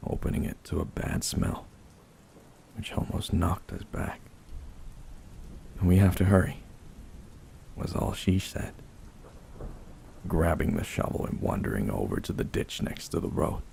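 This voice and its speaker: A man's voice: a bass voice, very animated, vocal fry, slight background noise. A man narrating a first-person horror story in a somber, dramatic manner. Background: slow dark music.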